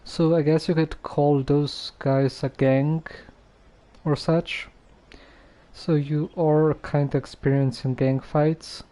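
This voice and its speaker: Guy on a game stream, mellow voice, really slow, reads lines out and muses, repeats himself. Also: fairly high pitch, level tone. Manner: halting, musing, repeats phrases. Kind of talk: casual live game stream, reading dialogue aloud and commenting.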